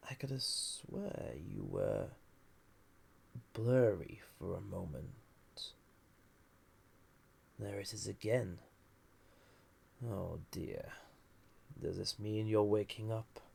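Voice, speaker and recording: A very husky, heavily creaking villain played by a man, banished to a dream world and lonely after ages there. He speaks low and very slowly, half-whispering his teasing, dangerous flirtation in an intimate audio drama. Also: a little mellow, heavily acted. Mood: urgent, intent. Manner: teasing, languid, drawn-out pauses.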